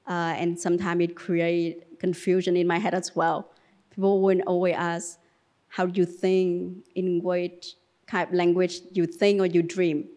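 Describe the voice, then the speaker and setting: Woman in her 30s, lowish voice, pretty even tone, speaking at a buddhist gathering. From Vietnam, later France. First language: Vietnamese. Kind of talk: reading aloud and personal sharing.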